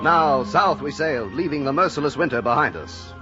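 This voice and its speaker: An actor playing an earnest young sailor who narrates a whaling tale in measured, literary radio-drama style. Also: male voice, a little high, expressive, over music, close to an everyday voice. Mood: measured, dramatic. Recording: muffled vintage radio recording.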